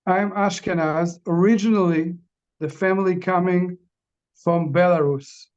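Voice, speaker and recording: A middle-aged Israeli man, a native Hebrew speaker, guest in an interview, speaking haltingly in simple phrases. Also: high voice, fairly even intonation, a little mellow, smooth. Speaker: interview guest, bereaved father. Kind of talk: casual conversation. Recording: dull lo-fi sound.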